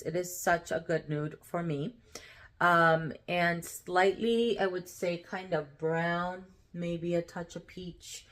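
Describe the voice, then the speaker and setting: A woman with a slightly low voice talks through her polish collection in a loose, rambling way. Her voice sounds nasal and congested. She fills gaps with ums and soft laughs, staying upbeat. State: full after eating. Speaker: nail polish vlogger. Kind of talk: casual chatty product talk.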